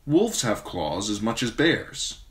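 A man voicing a dutiful young soldier who answers plainly and quietly. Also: lowish voice, very animated, takes it slowly, pitched above the performer's own voice, heavily acted. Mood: earnest. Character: earnest young man, a sworn brother of a military order.

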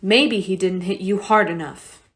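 A woman's voice: mid-range voice, measured pace, noisy background, natural voice. A woman playing a stern, brusque, no-nonsense mother in a radio play. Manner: brusque, demanding. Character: stern, no-nonsense refugee mother, brisk and practical.

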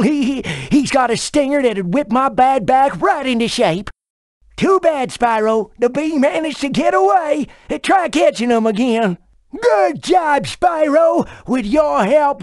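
High folksy cartoon dragon, chatty, dry studio sound. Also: female voice, a little mellow, dry recording. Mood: concerned. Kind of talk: scripted video game character dialogue.

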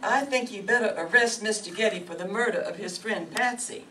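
A grave doctor in a radio mystery, played by an actor, speaks in a measured, matter-of-fact way. The voice is mid-pitched and distant from the mic, with faint room noise. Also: pushed and bright, close to an everyday voice. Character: grave, matter-of-fact doctor who sees through lies. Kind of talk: radio mystery acting before a live audience.

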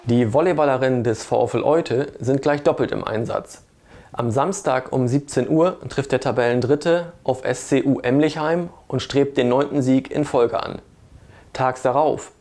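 A man's voice: medium pitch, a little mellow. Man presenting scripted sports news. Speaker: sports reporter.

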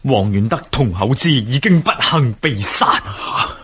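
An actor with a Hong Kong Cantonese accent playing an urgent, impassioned rebel conspirator in a radio drama, with a husky voice. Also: male voice, medium pitch, lively intonation, a little slow, a bit bright, slight background noise. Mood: alarmed, urgent.

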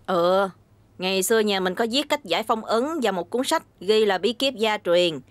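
A woman voicing a calm, knowing female ancestor spirit in a cartoon dub. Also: medium pitch, moderate pace, no room sound, lightly acted. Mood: explaining. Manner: matter-of-fact, explanatory.

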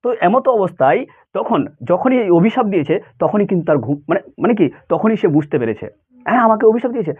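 A man's voice: high voice, big pitch swings, quick pace, breathy edge. A man teaching students informally, explaining a story conversationally with frequent check questions, in a thin phone-like recording.

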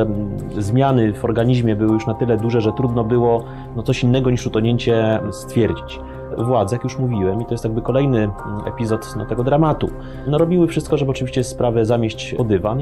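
A middle-aged man recounting events in an interview, talking discursively with many fillers and asides, seated in an office. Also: mid-range voice. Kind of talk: interview explanation. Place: office with bookshelves. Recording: music bed underneath.

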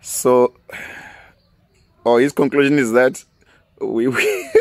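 A man in his thirties from South Africa with a Venda accent, whose first language is Tshivenda, hosting a casual podcast outdoors on a close studio mic, laughing often and trailing off quietly. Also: medium pitch, huge pitch swings, slow, vocal fry, dry recording. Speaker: podcast host. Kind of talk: casual solo podcast chat with phone call. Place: outdoors in a sunny garden. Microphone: large studio mic close up.

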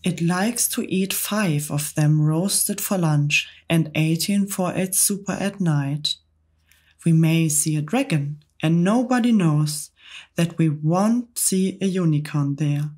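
A woman voicing an excitable, boastful male centipede who recites rhyming verse with relish. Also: low voice, takes it slowly, slightly rough, heavily acted. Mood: gleeful.

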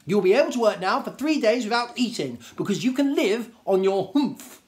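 A man's voice: high-pitched, lively intonation, moderate pace, darkish tone, pitched above the performer's own voice. A man voicing a lofty, commanding desert djinn from a children's storybook, emphatic and theatrical in his scolding. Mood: stern, triumphant.

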